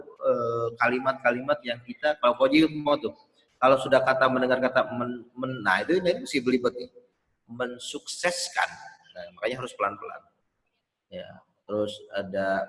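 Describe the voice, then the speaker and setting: A man's voice: mid-range voice, a bit bright. A man giving a casual webinar talk on emcee skills, rambling a little and sounding out tricky words as examples. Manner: loose, rambling explanations with spoken pronunciation demos. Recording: roomy with long reverb.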